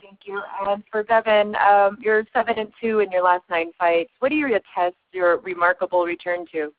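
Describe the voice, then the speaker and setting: A woman's voice: medium pitch, moderate pace, no room sound, narrow, tinny audio. A woman sports reporter asking prepared interview questions over a phone conference line.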